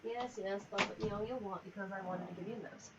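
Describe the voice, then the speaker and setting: A woman's voice: mid-range voice, steady tone, fast talker, very noisy. Casual woman streamer, dull headset sound. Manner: informal, rambling, affectionate. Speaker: video game livestreamer. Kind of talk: casual livestream chat over gameplay. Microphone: sensitive headset mic.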